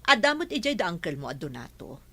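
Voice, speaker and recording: A woman's voice: on the low side, big pitch swings, fast talker, heavily acted. A middle-aged woman acting a caring mother who pleads with her daughter in an audio drama. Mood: pleading. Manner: soft, pleading.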